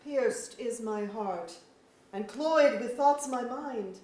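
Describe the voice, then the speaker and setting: A woman's voice: a little high, slow, darkish tone. A woman playing a proud, weary fairy king in a staged reading of a verse drama, declaiming formally. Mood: sorrowful. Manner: formal, declamatory, archaic phrasing. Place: museum auditorium stage. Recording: echoey auditorium, recorded from far off.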